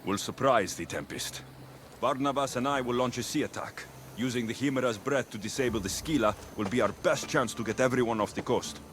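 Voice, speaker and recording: An actor playing a confident, warm young Greek mercenary hero in a video game, with a Greek accent. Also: male voice, mid-range voice, a little fast, a little hiss or hum, lightly acted. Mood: resolute. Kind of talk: video game cutscene acting. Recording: music behind.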